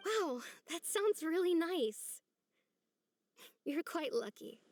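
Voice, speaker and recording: A woman playing a gentle, lonely young village woman in a video game, speaking in an earnest, soft, hesitant way. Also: very high-pitched, sing-song, slow pace, exaggerated voice. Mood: fond, wistful.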